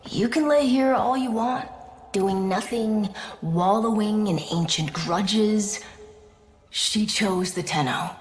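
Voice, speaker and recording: A woman playing a slow-spoken, defiant young warrior. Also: mid-range voice, a little hiss or hum, close to an everyday voice. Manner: deliberate, resolute. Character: determined young female warrior, defiant and terse. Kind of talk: dramatic video game voice acting. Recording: roomy echo, music behind.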